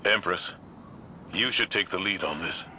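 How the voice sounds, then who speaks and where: Authoritative commander for a game briefing: low, measured, steady. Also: male voice, a little hiss or hum, exaggerated voice. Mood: steady, focused. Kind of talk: video game mission briefing. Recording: loud game ambience behind.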